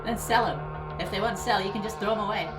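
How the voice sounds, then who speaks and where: A woman voicing an upbeat, stubbornly friendly high school girl in a comic fan dub, with a blunt, breezy, casual manner. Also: quick pace, loud music behind the voice, natural voice.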